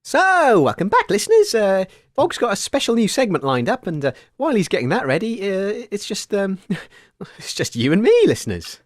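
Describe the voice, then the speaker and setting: Hesitant um-uh guy hosting silly radio bit, pitch leaps everywhere. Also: huge pitch swings, moderate pace, no room sound, studio quality. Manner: hesitant, filler-heavy, easily startled. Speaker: radio show host. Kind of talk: comic radio-show hosting.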